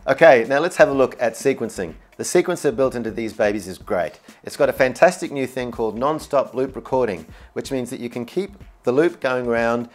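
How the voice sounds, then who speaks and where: A man's voice: mid-range voice, expressive, brisk, few pauses. A man giving an upbeat, informal and enthusiastic instructional product demo, explaining features as he goes. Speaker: product demonstrator. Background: keyboard music.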